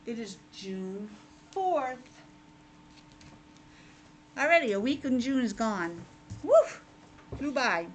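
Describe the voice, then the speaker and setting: A woman with a fairly high voice reads to young children like a teacher. She explains simply and adds chatty asides, over clear background noise.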